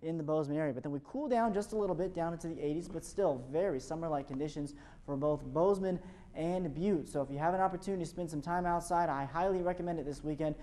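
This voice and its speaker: A man's voice: a little high, fast, dark tone, slight background noise. An American man presenting a morning television weather forecast in an upbeat, fluent presenter's delivery. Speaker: television meteorologist.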